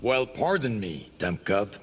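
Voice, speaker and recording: An actor playing a cheeky, brash action star in a video game, delivering mocking, breezy banter. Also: male voice, fairly low pitch, very animated, measured pace, fairly bright tone, breathy edge, long reverb tail, full character voice.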